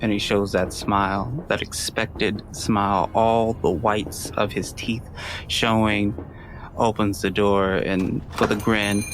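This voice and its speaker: A man's voice: fairly low pitch, level tone, slow, fairly bright tone, a little hiss or hum, poor audio. A man playing a tabletop game, casually describing his character. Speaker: tabletop player.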